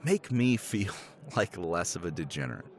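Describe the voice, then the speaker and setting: A man's voice: low-pitched, sing-song, moderate pace, a little mellow. Man playing a tipsy, rambling middle-aged professor. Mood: persuasive. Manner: rambling, chuckling, swears casually. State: tipsy from scotch. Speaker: roleplay character. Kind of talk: ASMR romance roleplay.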